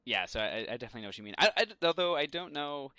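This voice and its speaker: A man's voice: on the high side, lively intonation, racing, sharp tone, clean tone, no room sound, clean studio sound. A man co-hosting a podcast, speaking in a casual discussion style. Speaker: podcast co-host. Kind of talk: casual pop culture discussion.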